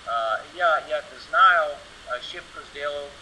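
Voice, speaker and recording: American male presenter, non-native speaker, halting delivery, highish sharp voice, some background noise. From United States. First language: English. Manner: halting, broken non-native grammar, searching for words. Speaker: guest conference presenter. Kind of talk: informal conference talk in Russian as a second language. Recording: noisy online seminar audio.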